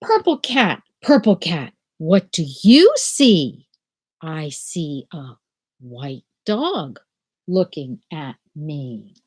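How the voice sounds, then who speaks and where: An older woman teaching children from home over a webcam, explaining a picture book and then reading it aloud in a gentle sing-song. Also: low voice, very animated, extremely slow, breathy edge. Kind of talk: children's read-aloud lesson. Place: small room at home. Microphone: laptop webcam video call.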